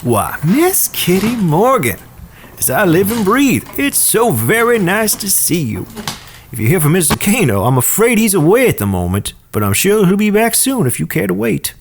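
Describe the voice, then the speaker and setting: A man playing a courteous, folksy saloon keeper with a husky voice in a western audio drama. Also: sits high, sing-song, moderate pace, slight background noise. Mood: welcoming. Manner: folksy, polite. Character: courteous, welcoming frontier saloon keeper named Joe.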